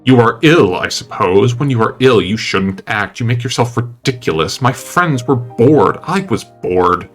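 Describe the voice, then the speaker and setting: A man's voice: mid-range voice, animated, measured pace, hardly any pauses, close to an everyday voice. Petulant upper-class young man, played by a male narrator, bitter and emotional, audiobook drama over music. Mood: angry, hurt. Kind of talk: dramatic audiobook character reading. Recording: music under the voice.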